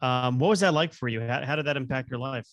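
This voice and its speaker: A man's voice: mid-range voice, fast, fairly bright tone, no room sound. A man hosting an interview, asking friendly, open questions. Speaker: interviewer host. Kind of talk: interview conversation.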